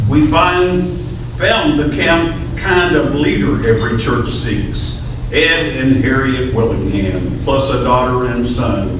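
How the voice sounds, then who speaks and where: A man reads rhyming verse aloud at a slow pace in a church readers theater. His medium-pitched voice comes from far off in a very echoey, noisy hall. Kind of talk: reading rhyming poem aloud.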